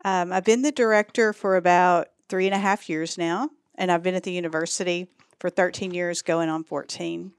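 A middle-aged woman from East Texas with a Texan accent, a guest in a relaxed, friendly interview who laughs lightly. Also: lowish voice, normal speed, few pauses, clear, resonant tone, no room sound. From East Texas, USA. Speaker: guest, social work school director. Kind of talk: casual interview chat.

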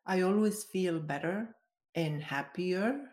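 A woman's voice: on the low side, slow pace, a little mellow. A woman from Venezuela, a guest giving careful, step-by-step explanations in an interview. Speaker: guest, color expert.

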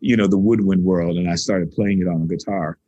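A man from New York speaks with a slightly husky voice, recorded close and dry. He is a guitarist being interviewed, and he tells animated, rambling stories full of restarts. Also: low voice, a little fast, dry recording, highs cut off. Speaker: guitarist and composer. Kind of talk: casual interview conversation.

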